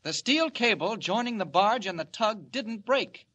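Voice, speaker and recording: An actor playing a brisk, confident reporter with a secret heroic side, clipped and decisive in 1940s radio serial style. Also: male voice, high-pitched, expressive, sharp tone, dull audio, exaggerated voice. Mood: brisk, urgent. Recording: noisy old radio recording.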